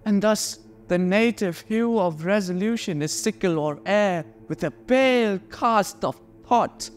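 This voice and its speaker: An actor playing a melancholy, brooding prince in a theatrical Shakespearean monologue, speaking deliberately with dramatic pauses. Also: male voice, high-pitched, slight background noise. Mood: troubled, resigned.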